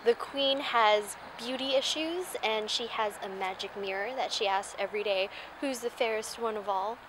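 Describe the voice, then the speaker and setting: A woman's voice: medium pitch, normal speed, noisy background. A woman giving casual, short answers in an interview.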